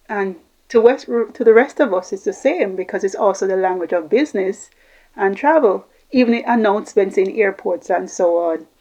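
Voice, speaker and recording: A woman's voice: mid-range voice, a little mellow. A middle-aged woman from Jamaica, talking unscripted as a communication coach and rambling through long lists of examples. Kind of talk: unscripted talk to camera. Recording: slightly dull lo-fi recording.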